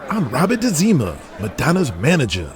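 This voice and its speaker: Brash, fast-talking showbiz manager, hyped-up. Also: male voice, medium pitch, huge pitch swings. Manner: showy, hyped-up salesman patter. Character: fast-talking, brash, flamboyant male pop star's manager. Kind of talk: audio drama acting. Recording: busy crowd noise behind.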